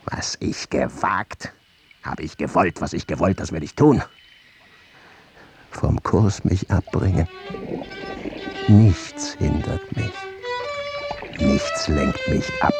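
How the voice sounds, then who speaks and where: A man playing an obsessed, vengeful whaling captain in a radio drama, declaiming slowly and emphatically. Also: low-pitched, very animated, darkish tone, soft background music, exaggerated voice. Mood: brooding defiance. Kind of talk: theatrical radio drama monologue.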